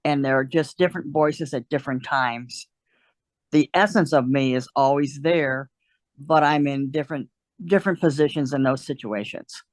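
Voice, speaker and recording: A woman's voice: very deep voice, moderate pace, dry recording. A woman taking part in an online workshop on a video call, speaking in an earnest, reflective way. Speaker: workshop participant.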